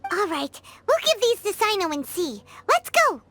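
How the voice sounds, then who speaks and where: A woman voicing a tiny, chirpy floating companion sprite in a game, with a high squeaky childlike voice and an eager, chatty manner. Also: sing-song, moderate pace, fairly bright tone, a little hiss or hum, exaggerated voice. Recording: game cutscene with faint music.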